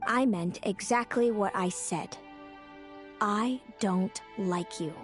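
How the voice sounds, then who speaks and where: A woman's voice: medium pitch, slow, close to an everyday voice. Woman acting wounded fae older sister over music. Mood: bitter, resentful. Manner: dramatic, wounded, confessional delivery. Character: bitter, tormented fae older sister with prophetic visions. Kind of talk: video game voice acting. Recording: music under the voice. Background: background music.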